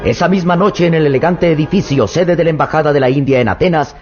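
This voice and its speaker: A man's voice: on the high side, fast talker. A man narrating a vintage radio drama in a dramatic, suspenseful manner. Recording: dull lo-fi old radio recording with loud music.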